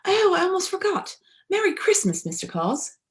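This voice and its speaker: A woman voicing a small, polite, eager young male bear from a children's storybook, earnest and excitable. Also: extremely high pitch, normal speed, voice pushed up, exaggerated voice. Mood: kindly, bright.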